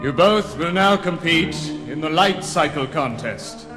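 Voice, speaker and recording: A harsh armored warrior, commanding and menacing. The voice is fairly high and very deliberate, proclaiming each threat over prominent music in a storybook recording. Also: male voice, animated. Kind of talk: read-along record dramatization.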